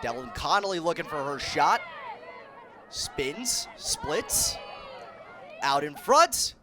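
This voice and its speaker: A man in his twenties calling live sports play-by-play in energetic, clipped phrases. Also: fairly high pitch, slow. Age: 20s. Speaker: play-by-play announcer. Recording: busy game crowd behind. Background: sports crowd and court noise.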